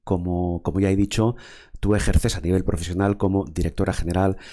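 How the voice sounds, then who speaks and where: A man's voice: low voice, steady tone, fairly quick, mellow. A man from hosting a formal interview, speaking in a wordy way with frequent fillers and repeated words. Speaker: interviewer, show host.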